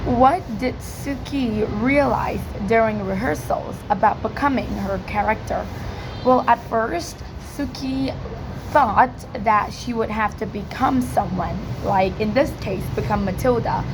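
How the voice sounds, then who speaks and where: Croaky, high-voiced young-sounding female presenter. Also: unhurried, noisy background. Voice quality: croaky, cracking. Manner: hesitant, many filler likes. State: hoarse from yelling. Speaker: student presenter practising English speaking. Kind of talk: informal spoken question-and-answer presentation.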